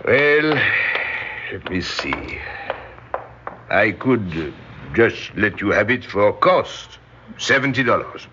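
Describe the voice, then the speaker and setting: Slow, genial, courtly shopkeeper man. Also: fairly low pitch, lively intonation. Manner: unhurried, genial, chuckling. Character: kindly, courtly male tailor shopkeeper. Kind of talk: old-time radio drama acting. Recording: dull noisy old radio recording.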